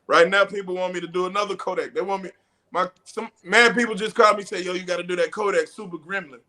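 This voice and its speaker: A rapper from South Carolina, talking in a casual, slangy way as a guest on a remote call. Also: male voice, sits high, steady tone, fast talker, sharp tone. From South Carolina, USA. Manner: laid-back slangy storytelling. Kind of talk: casual interview chat.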